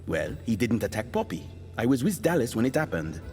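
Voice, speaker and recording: An actor playing an irritable, world-weary hired killer in an audio drama, dry, sarcastic and menacing. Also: male voice, medium pitch, very animated, brisk, a little mellow, in a large hall, faint music behind, natural voice. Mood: accusing.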